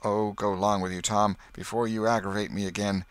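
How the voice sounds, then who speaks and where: A man voicing a stern but soft-hearted old aunt who scolds and exclaims. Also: lowish voice, flat delivery, fairly quick, clear, resonant tone, no echo, natural voice. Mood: softened.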